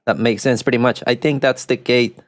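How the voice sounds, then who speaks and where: A man's voice: medium pitch, fairly even intonation, brisk, no room sound. A man hosting a podcast, easygoing and fond of joking with his guests. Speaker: podcast host. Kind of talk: casual podcast conversation.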